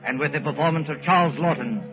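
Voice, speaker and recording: A man announcing and narrating a 1940s radio drama from a script, measured and dramatic. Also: a little high, loud music behind the voice. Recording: tinny old radio broadcast.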